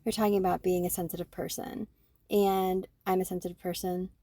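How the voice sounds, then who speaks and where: A woman in her forties, co-hosting a podcast, talking through her own thoughts in an animated way and often checking in with "right?". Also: lowish voice, a little fast, dry recording. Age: 40s. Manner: animated, self-reflective, fond of tag questions like "right?". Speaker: podcast co-host. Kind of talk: casual conversation.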